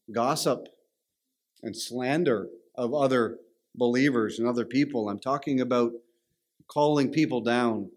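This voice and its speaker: A man with a Canadian accent preaching a sermon in a conversational, storytelling way. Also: medium pitch. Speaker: pastor.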